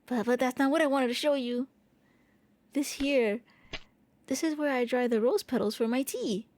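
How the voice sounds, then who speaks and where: A shy, eager vampire prince voiced by a woman speaks in a mellow, fairly high voice. The delivery is gentle and intimate, a soft ASMR roleplay monologue with a hesitant, boyish courtesy. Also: normal speed. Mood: apologetic, flustered. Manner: gentle, hesitant, intimate, stammering when flustered.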